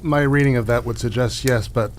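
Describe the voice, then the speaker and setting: Over clear background noise comes a man acting as a gentle small-town doctor in an improvised radio drama. He picks his words with care, stalling and hedging as he soothes in a mid-pitched voice. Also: fairly even intonation, natural voice. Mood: tactful, probing. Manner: halting, tactful, placating, hedging.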